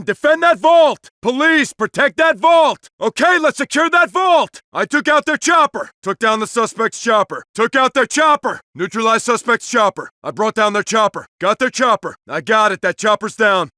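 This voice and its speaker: A man's voice: very high-pitched, sharp tone, dry recording, clean studio sound, exaggerated voice. A man playing a tough, urgent police SWAT officer in a shooter game, speaking in short, clipped tactical lines. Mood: commanding, then triumphant. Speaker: game voice actor playing a player character.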